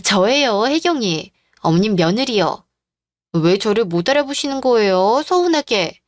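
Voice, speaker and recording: A woman's voice: medium pitch, lively intonation, unhurried, dry recording, clean studio sound, lightly acted. A woman playing a devoted, patient middle-aged daughter-in-law and mother in a radio-drama style story. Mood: patient, hurt.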